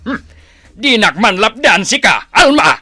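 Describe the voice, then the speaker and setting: Actor as slow, menacing older village tough. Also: male voice, sits high, very animated, sharp tone, breathy edge, no echo, exaggerated voice. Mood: domineering. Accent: Ilocano. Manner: slow, challenging, drawn-out delivery. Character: menacing, boastful older male village tough, domineering father. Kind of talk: comic Ilocano radio drama acting.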